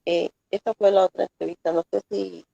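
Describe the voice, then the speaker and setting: A woman student, describing her interview work in a halting, unsure way. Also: mid-range voice, little pitch movement, creaky, mellow, no echo. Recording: dull, muffled audio.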